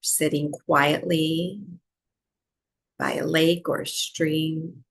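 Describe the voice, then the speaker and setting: A woman teaching breathwork, guiding a meditation in short, unhurried phrases with long pauses between them. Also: low-pitched, level tone, gravelly, husky. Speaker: breathwork and yoga nidra teacher. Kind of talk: guided meditation, slow soothing instruction.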